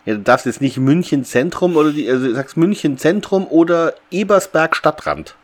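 A man's voice: fairly high pitch, normal speed. A man talking casually as a podcast host, discussing a story with others. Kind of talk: casual discussion of an audio drama episode.

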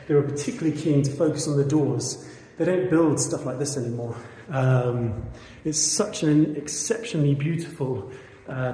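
A fairly high-voiced man talks earnestly and casually, stopping often on fillers as he walks through an old school building. His voice rings around a large, reverberant hall, caught on a handheld camera. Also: mellow. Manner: chatty, earnest, many fillers. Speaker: volunteer fundraiser showing a school. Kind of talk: casual walkthrough vlog. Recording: echoey hall, long reverb.

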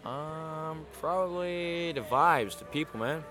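A man's voice: on the high side, slow, sharp tone. A man being interviewed outdoors about his riding, talking in a laid-back, joking way. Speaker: interviewee, rider.

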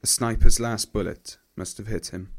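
A man's voice: fairly low pitch, fairly even intonation, normal speed, close to an everyday voice. A man playing a grieving young First World War soldier in a radio play, telling his story solemnly and sorrowfully. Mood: grieving, shaken. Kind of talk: radio play dramatic monologue.

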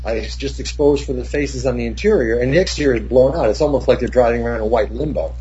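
An older man, a cinematographer, telling rambling, profane set stories with many restarts, in a husky voice. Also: mid-range voice, quick pace, warm tone, slight background noise. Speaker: cinematographer guest. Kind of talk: casual anecdote. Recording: dull lo-fi recording.

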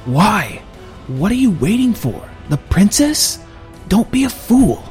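Casting: man putting on a scared young adventurer, voice pushed high, stammering, loud. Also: very animated, takes it slowly, faint music behind, full character voice. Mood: scornful. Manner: pitched up and strained, stammering. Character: frightened young male adventurer, pleading.

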